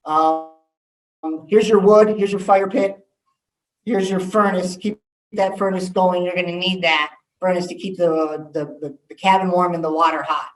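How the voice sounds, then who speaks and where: A man's voice: high voice, steady tone, moderate pace. A man, giving a candid talk to students as an assistant principal, with frequent ums. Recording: echoey room, voice from far off.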